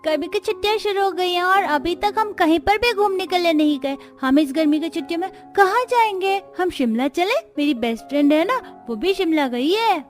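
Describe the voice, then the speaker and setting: A woman's voice: very high-pitched, expressive, normal speed, few pauses, faint music behind. A woman voicing an eager, pleading little girl in a cartoon family story. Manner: childlike, pleading.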